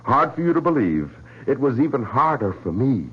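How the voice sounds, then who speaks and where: Husky, agitated male scientist. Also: mid-range voice, big pitch swings, moderate pace. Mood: urgent, commanding. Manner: insistent, emphatic, dramatic. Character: agitated, obsessive male scientist convinced machines conspire against him. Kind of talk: 1970s radio drama acting. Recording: dull vintage radio recording.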